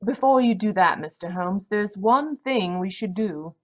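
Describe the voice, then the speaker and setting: A woman's voice: medium pitch, animated, measured pace, a little mellow. A woman playing a polite, sweetly practical wife in an old-time radio mystery, over muffled video-call audio. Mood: gently prompting.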